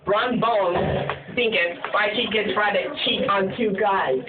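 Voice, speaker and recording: A man telling a ghost story in a halting, rambling, repetitive way, as an amateur storyteller. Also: sits high, over music. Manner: halting, repetitive, starts many sentences with "and so then". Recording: tinny phone-like sound, far from mic, echoey, crowd chatter.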